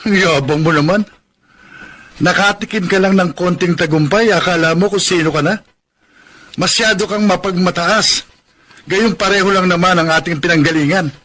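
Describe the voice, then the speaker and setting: Jealous young Filipino lover, higher-pitched. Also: male voice, moderate pace, a bit bright. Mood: angry, defiant. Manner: emotional, accusatory. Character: jealous, hot-headed poor young man in love. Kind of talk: Filipino radio drama acting.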